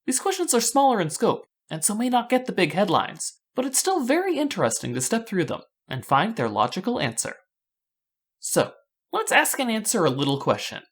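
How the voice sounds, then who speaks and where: A woman's voice: mid-range voice, very animated, normal speed, breathy edge. A woman narrating scripted fan analysis as a video host, with a wry, rhetorical delivery.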